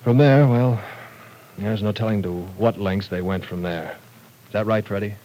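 A no-nonsense professor, voiced by an actor, speaking in a lowish, steady tone with firm, lecturing authority. Old-time radio play delivery. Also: male voice, normal speed, a little hiss or hum, close to an everyday voice, rough recording. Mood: stern. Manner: firm, lecturing, cuts in to keep order. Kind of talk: 1940s radio acting.

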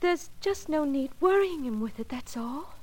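A woman's voice: high-pitched, animated, moderate pace, darkish tone. An American actress playing an anxious, evasive married woman client in a classic radio drama, hesitant and imploring. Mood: guarded, anxious.

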